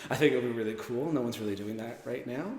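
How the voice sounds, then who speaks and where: A man's voice: medium pitch, fast talker, a little mellow. A man talking casually in an interview as a performer and writer. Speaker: radio drama writer and performer. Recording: echoey, reverberant hall.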